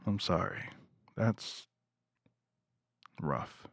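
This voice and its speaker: Kind, earnest man with lively intonation acted. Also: deep voice, very slow, no echo, clean studio sound, full character voice. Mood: earnest, supportive. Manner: tactful, earnest. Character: kind, considerate brother, newly acquainted with his sister. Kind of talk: audio drama acting.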